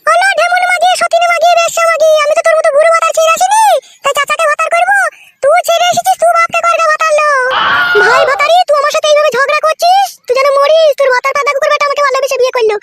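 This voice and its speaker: A performer voicing a quarrelsome young village woman in a comedy cartoon, shrill, scolding and cursing in a foul-mouthed fury. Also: steady tone, rapid-fire, heavy background noise. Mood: scornful, furious. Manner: scolding, cursing, wailing taunts. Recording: music behind the voice.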